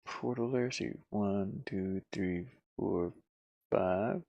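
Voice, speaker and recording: A man's voice: low-pitched, slow pace, gravelly, no echo, dull audio. A man thinking aloud in a casual way as he works on his game, muttering to himself in short fragments. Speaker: solo indie game developer. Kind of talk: casual think-aloud screen-recording commentary.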